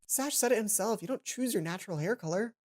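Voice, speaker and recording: A woman's voice: mid-range voice, expressive, quick pace, darkish tone, voice pushed up, close to an everyday voice. A woman voicing a downcast, polite young student heroine in a visual novel, with a hesitant manner. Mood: downcast, musing. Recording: music under the voice.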